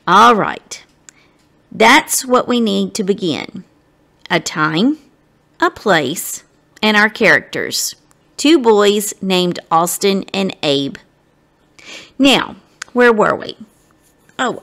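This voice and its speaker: Female guest reader for children's storybook, unhurried, very lively intonation, speaks straight to young listeners. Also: mid-range voice. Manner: deliberate phrasing, addressing young listeners directly. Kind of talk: expressive children's storybook narration.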